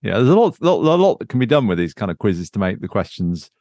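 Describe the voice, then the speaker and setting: A man's voice: lowish voice, sing-song, quick pace, a little mellow, no room sound, studio quality. A man co-hosting a podcast in casual, unscripted chat. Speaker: podcast co-host.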